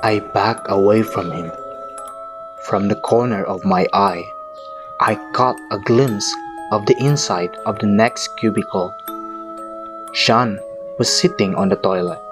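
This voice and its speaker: A man acting as a traumatized survivor tells a grim first-person horror tale for an audio play. The voice is fairly low and the telling very slow, with music clearly behind it. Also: natural voice. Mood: grim recounting. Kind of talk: scripted audio drama horror narration.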